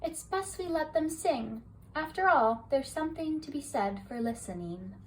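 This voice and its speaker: Bedtime reader, woman, high voice, soft rhyming lilt, distant mic with faint hiss. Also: expressive, normal speed. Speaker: storybook reader. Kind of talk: bedtime story read-aloud.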